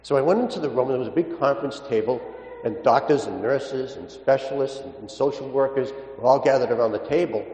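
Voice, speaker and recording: Priest preaching in an echoing hall. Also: male voice, medium pitch, warm tone. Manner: storytelling, halting with repeated words, emphatic on key points. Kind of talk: sermon with anecdotes and gospel teaching. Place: large reverberant indoor space. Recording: echoey, reverberant church-like hall.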